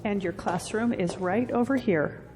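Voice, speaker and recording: A woman playing a seasoned school principal in an audio drama, formal, measured and authoritative. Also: medium pitch, very noisy, lightly acted. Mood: gracious, nostalgic.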